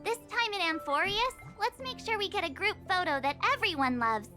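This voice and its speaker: A perky teenage girl adventurer from a game, with a very high, bright voice. She is bubbly and chatty, with lively swings of pitch. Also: a little fast, soft background music, heavily acted. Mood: cheerful, chatty. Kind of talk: scripted game dialogue.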